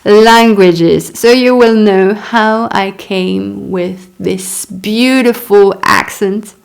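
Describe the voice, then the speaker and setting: A woman's voice: mid-range voice, gravelly, clean tone. A woman in her thirties whose first language is French, reading her own memoir aloud and stopping for chatty asides. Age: 30s. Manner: unhurried reading broken by chatty asides and little laughs. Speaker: author.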